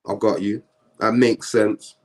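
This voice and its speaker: Relaxed host on a livestream. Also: male voice, on the low side, takes it slowly. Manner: relaxed, uses slang tags. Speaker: host of a live stream interview. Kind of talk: casual live-stream interview.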